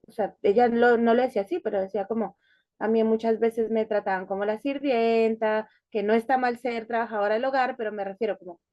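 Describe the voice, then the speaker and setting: Woman, higher voice, thoughtful. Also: fairly even intonation, fairly quick, no echo, highs cut off. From Colombia, Bogotá. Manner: thoughtful, hesitant, many fillers and restarts. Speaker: interview guest. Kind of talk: conversational life-story interview.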